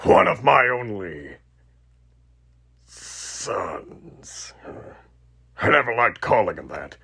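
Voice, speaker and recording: A man voicing a sadistic, elderly undead killer animatronic in a horror roleplay, raspy and theatrical, with mocking menace and muttered asides. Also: lowish voice, sing-song, drawn-out words, pushed and bright, exaggerated voice. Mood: bitter, menacing. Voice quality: raspy, gravelly.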